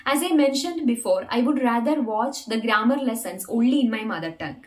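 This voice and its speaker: A woman's voice: on the high side, animated, a little fast. A young adult woman whose first language is not English, earnestly teaching English learners and repeating phrases to stress her points. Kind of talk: talking-head English learning lesson.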